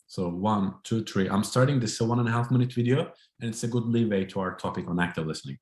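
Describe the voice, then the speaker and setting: A low-voiced man runs a training session over a video call. He explains in a friendly way, his voice echoing in a roomy space. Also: fast talker, darkish tone. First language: Turkish. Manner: friendly, explanatory, light laughter. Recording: roomy echo.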